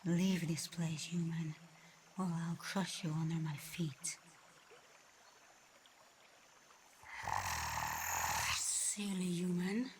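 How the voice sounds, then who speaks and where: A woman acts out a reclusive, haughty she-dragon for an ASMR roleplay. She talks slowly and deliberately in a flat, controlled line, mocking and menacing with a streak of curiosity. Some background noise sits under the voice. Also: fairly low pitch. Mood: annoyed, threatening.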